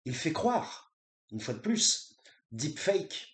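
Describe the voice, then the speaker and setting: A man's voice: fairly high pitch, sing-song, slow pace, fairly bright tone, roomy sound. A man ranting about politics in an unscripted monologue, indignant and repetitive, with many restarts and fillers. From grew up in France from age three. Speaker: vlogger.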